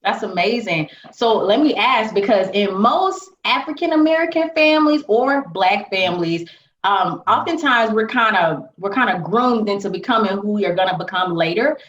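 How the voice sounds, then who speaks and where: A woman's voice: medium pitch, moderate pace, a bit bright. A woman hosting a podcast, chatting with her guest and asking friendly questions. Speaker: podcast host. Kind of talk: casual interview. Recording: roomy, dull lo-fi sound.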